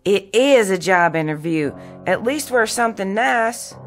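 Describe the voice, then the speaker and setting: A woman's voice: medium pitch, animated, unhurried, soft background music, natural voice. A woman playing a practical, insistent wife in a radio drama, firm and coaxing with her husband. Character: pregnant wife, practical, insistent and nagging, affectionately.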